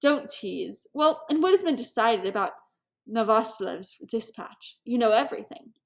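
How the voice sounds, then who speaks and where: Woman voicing an impetuous high-society hostess. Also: moderate pace, gravelly. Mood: teasing, weary. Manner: animated, impetuous, declamatory. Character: forty-year-old society hostess, impulsive, enthusiastic, theatrical.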